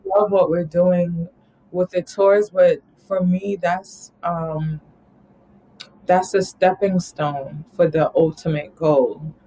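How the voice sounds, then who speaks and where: Lowish-voiced new york woman, chatty guest, rambles and laughs a lot. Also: fairly even intonation, takes it slowly, mellow, poor audio. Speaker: guest, travel company founder. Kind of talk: casual interview conversation.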